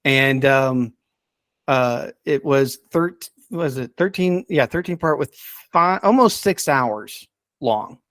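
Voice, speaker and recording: A man hosting a casual podcast, talking enthusiastically but haltingly as he searches for names and details. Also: mid-range voice, animated, fairly bright tone, dry recording, clean studio sound. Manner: enthusiastic about the subject. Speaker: podcast host.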